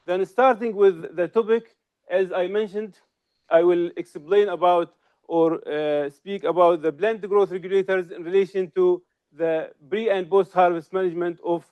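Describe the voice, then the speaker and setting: Middle-aged man, high smooth voice, halting seminar delivery, slightly muffled recording. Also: steady tone. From Syria. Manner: halting, frequent hesitations and restarts. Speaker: research associate giving a seminar. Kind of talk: academic seminar lecture.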